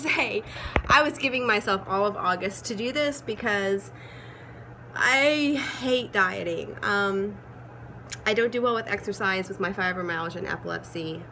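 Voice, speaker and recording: Hoarse, chatty woman vlogger. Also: mid-range voice, expressive, normal speed, sharp tone, slight background noise. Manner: chatty, upbeat, frequent ums. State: hoarse from a cold. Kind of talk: casual chat. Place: at home, changed rooms.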